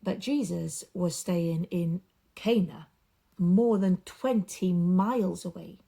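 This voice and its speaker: A woman reading a storybook aloud for young children, with dramatic emphasis and drawn-out repeated words. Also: fairly low pitch, vocal fry, mellow.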